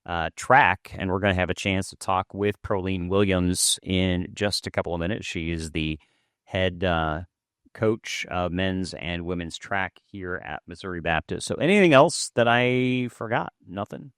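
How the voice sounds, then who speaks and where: A man's voice: deep voice, a little slow, a bit bright, clear, resonant tone, dry recording, studio quality. A man hosting a podcast, asking long rambling questions full of filler words. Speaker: podcast host. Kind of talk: casual interview chat.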